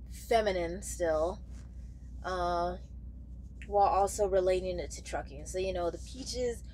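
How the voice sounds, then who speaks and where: A young woman talking casually and candidly to camera in a halting way, searching for words as she goes. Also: medium pitch, slight background noise. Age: young adult. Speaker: truck driver vlogger. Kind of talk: casual vlog talk to camera. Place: small padded truck sleeper bunk, indoors.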